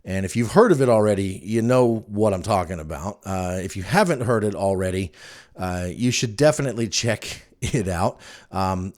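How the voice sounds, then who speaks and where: A man's voice: fairly low pitch, a little mellow. A man hosting a podcast, telling stories casually in an informal, wry and amused way. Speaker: podcast host. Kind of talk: casual rambling storytelling to listeners.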